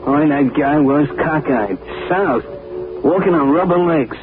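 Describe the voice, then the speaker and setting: An actor playing a shifty, streetwise dockside lowlife in a 1940s radio drama, talking in ungrammatical slang. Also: male voice, measured pace, lightly acted. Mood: sly. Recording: scratchy, tinny 1940s radio broadcast.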